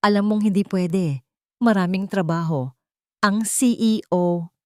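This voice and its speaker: A woman's voice: medium pitch, measured pace, darkish tone, no room sound, clean studio sound, lightly acted. Narrator lady as a tired young office woman, earnest, subdued, audio drama, very little room sound. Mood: weary, hesitant. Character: worn-out, hesitant young female office employee.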